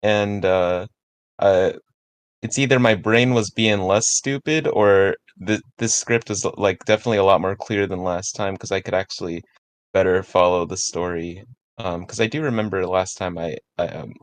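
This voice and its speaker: A man giving casual feedback on a script in a halting way, often repeating and restarting his words. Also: deep voice.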